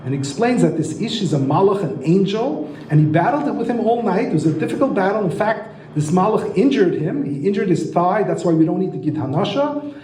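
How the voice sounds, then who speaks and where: High-voiced man lecturing in a roomy hall. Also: big pitch swings, a little fast, warm tone. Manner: enthusiastic, asks the audience rhetorical questions. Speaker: astrology lecturer and author. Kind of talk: teaching lecture. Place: lecture room. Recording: roomy echo.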